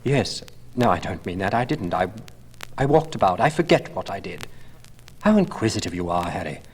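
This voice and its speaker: A man's voice: low voice, big pitch swings, moderate pace, breathy edge, heavily acted. Man playing moody, polished young Dorian Gray. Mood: irritable, evasive. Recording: old LP, long reverb, faint noise.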